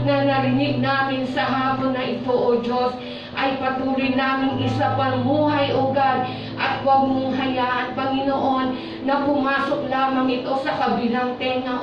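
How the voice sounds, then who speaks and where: Echoing, slow-praying Filipino woman. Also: high-pitched, level tone. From Philippines. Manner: devout, pleading, repeatedly calling on God in short phrases. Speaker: prayer leader at a church service. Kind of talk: fervent spoken closing prayer with benediction. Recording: echoey church hall, distant muffled mic, music behind. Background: worship music.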